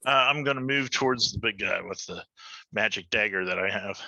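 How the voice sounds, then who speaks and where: A man's voice: fairly low pitch, brisk, vocal fry, bright, forceful tone, lo-fi sound. A man on a headset talking casually as a player in a tabletop game.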